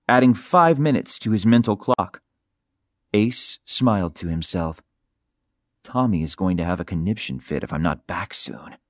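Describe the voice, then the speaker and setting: A man with a mid-pitched voice narrates a science fiction novel. He articulates carefully and tells it in a measured, dramatic way. The recording is close and dry, with no room sound. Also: dry recording. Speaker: audiobook narrator.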